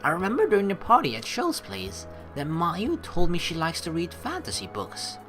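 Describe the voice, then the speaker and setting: A woman's voice: on the low side, huge pitch swings, moderate pace. A woman streamer reading a game's text aloud and adding casual, teasing asides of her own. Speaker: let's play streamer. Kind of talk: reading game text aloud with playful commentary. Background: game music.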